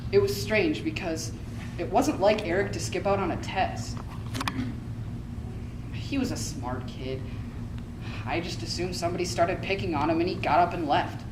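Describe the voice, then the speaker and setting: Echoey, candid high school student, acted by a woman. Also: animated, normal speed, pauses often, lightly acted. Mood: wry, uneasy. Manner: dramatic, conversational. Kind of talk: readers theater monologue. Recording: echoey room, distant mic, clear noise.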